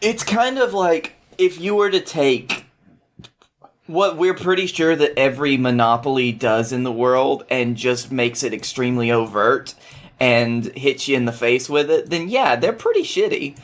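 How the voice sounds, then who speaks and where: A male podcast guest with a medium-pitched voice. He explains things in a loose, conversational way, with wry asides and the odd swear word. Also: a little slow. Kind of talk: casual explanatory chat.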